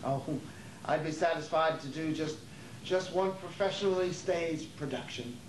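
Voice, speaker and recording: A nervous, weary older would-be actor in a stage drama, acted by a man, halting and repeating his words. His voice sits a little high. It is captured from a distance on a noisy, echoing theater tape. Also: natural voice. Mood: wistful, weary. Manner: hesitant, stammering, repeating words. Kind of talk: amateur stage play acting. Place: theater during a rehearsal.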